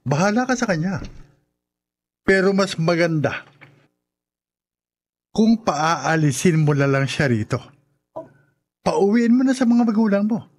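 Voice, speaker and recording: An actor playing a calm, unbending husband who refuses to help his wife, in a radio drama. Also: high voice, unhurried, long pauses, heavily acted. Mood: cold. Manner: calm and dismissive.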